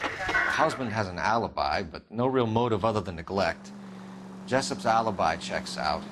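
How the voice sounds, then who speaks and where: An actor playing an earnest, by-the-book 1940s American police detective in a video game, questioning in a firm, clipped, formal way. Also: male voice, on the low side, sing-song, moderate pace, very noisy. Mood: measured. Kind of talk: video game cutscene acting, police interrogation.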